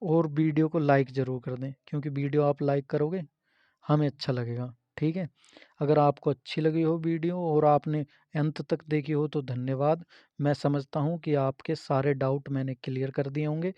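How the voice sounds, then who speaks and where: A man's voice: a little high, steady tone, normal speed, no room sound. A man giving an informal, instructive explainer, repeating points and checking in with listeners. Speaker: host of an exam information channel. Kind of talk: informal explainer addressed to exam candidates. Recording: dull, muffled lo-fi sound.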